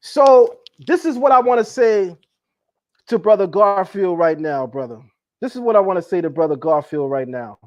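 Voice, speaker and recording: A man's voice: high-pitched, animated, normal speed, darkish tone. A man hosting a heated live debate, emphatic and boastful, repeating himself as he shows his sources. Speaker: host.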